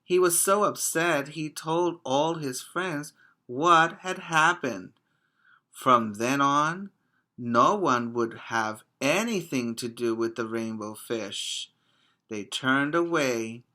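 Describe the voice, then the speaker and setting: A man, a preschool teacher, reading a picture book aloud expressively and addressing young children directly in simple, deliberate phrases. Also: medium pitch, bright, forceful tone.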